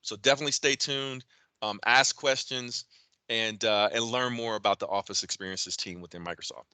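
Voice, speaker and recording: Male event host, bright voice, dry close sound, casual delivery with fillers. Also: fairly low pitch, steady tone, normal speed, clear, resonant tone, no echo. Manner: conversational, frequent fillers and restarts. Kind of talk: virtual event introduction.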